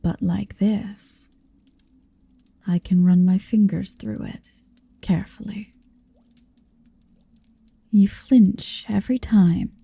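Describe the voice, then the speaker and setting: A woman playing a possessive, centuries-old dragon in human form, tender yet commanding, in a soft, hushed ASMR roleplay that often slips into whispers. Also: mid-range voice, dry recording, heavily acted. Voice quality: soft, breathy. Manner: slow, deliberate, hushed, often slipping into whisper. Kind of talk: ASMR roleplay, intimate second-person monologue.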